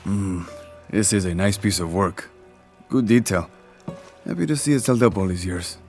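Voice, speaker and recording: An actor playing an easygoing, proud older village craftsman in a video game, speaking in a relaxed, wry way. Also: low voice, moderate pace, faint music behind. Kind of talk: video game cutscene acting.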